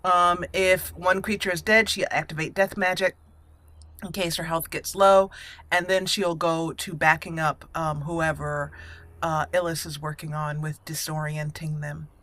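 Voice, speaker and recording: A woman giving casual, roleplay-minded let's play commentary, thinking aloud with hesitant pauses as she hosts. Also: low voice, little pitch movement, a bit bright. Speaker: gameplay host.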